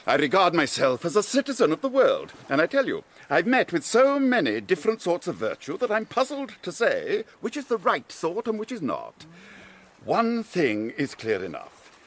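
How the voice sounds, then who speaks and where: A silky villainous Count, highish pitch with huge melodic swings, courtly, mocking, period radio drama. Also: male voice, huge pitch swings, moderate pace, a bit bright, exaggerated voice. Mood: philosophical, cynical. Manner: theatrical, courtly, mocking, relishing his words. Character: suave, cynical foreign Count, vain, slyly menacing, fond of pets.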